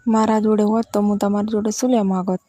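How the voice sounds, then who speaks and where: A woman's voice: mid-range voice, little pitch movement, fast talker, mellow, no room sound, natural voice. A woman with a Gujarati accent playing a demanding village in-law who wheedles insistently in a casual phone conversation. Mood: coaxing, stubborn.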